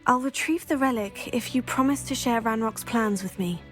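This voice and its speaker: Lady voicing a young witch in a video game, kinda high pitched, calm n polite, matter-of-fact, music behind. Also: moderate pace, lightly acted. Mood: composed, curious. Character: composed, determined teenage witch student, female. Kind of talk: video game cutscene acting.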